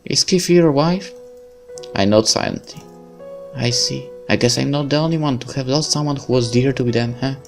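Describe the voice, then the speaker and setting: A man's voice: medium pitch, normal speed, gravelly, faint music behind, voice pushed up, lightly acted. A man voicing a grieving young woman agent who blames herself, tearful and self-deprecating, reading a visual novel. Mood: gently curious.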